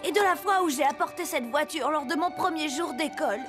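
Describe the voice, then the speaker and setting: Woman voicing a high, scheming cartoon preteen boy. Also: fairly quick, close to an everyday voice. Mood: sentimental pleading. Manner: expressive, pleading and plotting. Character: scheming, whiny preteen boy attached to his old toys. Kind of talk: French animated series dubbing. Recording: background music under the voice.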